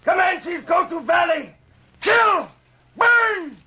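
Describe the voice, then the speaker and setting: An actor playing an angry warlike Comanche chief, declaiming in broken English in an old-time radio western. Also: male voice, very high voice, lively intonation, slow, raised voice, exaggerated voice. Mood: fierce, defiant. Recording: muffled old radio recording with crowd.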